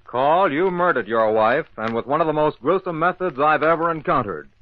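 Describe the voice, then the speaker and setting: An actor playing a calm, courteous but firm police inspector in an old-time radio mystery, deliberate and authoritative. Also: male voice, a little high, close to an everyday voice. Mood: stern, accusing. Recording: roomy, dull old radio recording.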